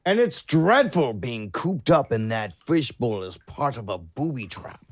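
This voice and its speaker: A man's voice: medium pitch, very animated, unhurried. A man playing a weary, sardonic giant squid gladiator with a dry, drawling way of complaining in an audio drama. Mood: disappointed.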